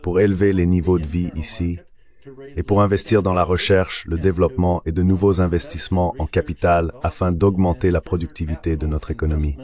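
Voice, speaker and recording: A man with a very low voice delivers a translated interview voice-over. He speaks in a formal, measured way, reading out economic analysis as an expert guest would. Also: flat delivery, mellow.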